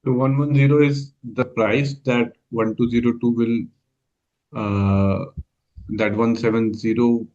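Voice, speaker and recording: A man giving an informal explanatory recap, halting and correcting himself as he goes. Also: medium pitch, level tone, darkish tone, highs cut off. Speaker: co-presenter summarizing the process.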